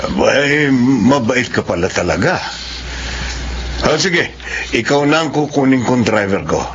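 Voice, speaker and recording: A man's voice: mid-range voice, expressive, measured pace. A man playing a generous, hearty businessman in a radio drama. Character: generous wealthy businessman, Sir Zoilo. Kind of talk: 1970s-style radio drama acting. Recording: dull lo-fi radio recording with hiss.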